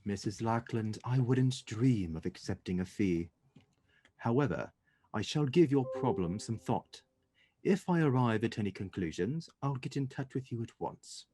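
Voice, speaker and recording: A man playing a composed, courteous English gentleman detective in a radio play, speaking in a measured, formal way. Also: mid-range voice, expressive, lightly acted. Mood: courteous, attentive.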